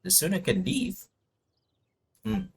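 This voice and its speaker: A man live-streaming a video game, reading on-screen text aloud and casually thinking out loud as he plays. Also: high-pitched, huge pitch swings, takes it slowly, creaky, faint music behind.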